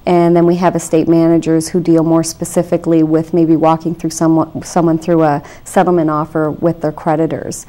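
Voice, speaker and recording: A woman being interviewed as a debt counselor, explaining things in a measured, reassuring way with frequent "you know" fillers, in a television studio. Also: sits low, little pitch movement, warm tone. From Canada.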